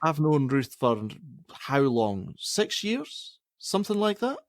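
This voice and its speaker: A man's voice: a little high, big pitch swings, slow pace, dry recording, studio quality, full character voice. An actor playing a defensive, argumentative middle-aged father in a naturalistic radio play, sarcastic and given to swearing. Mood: cruel sarcasm. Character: angry, defensive, domineering father of a pregnant teenage girl.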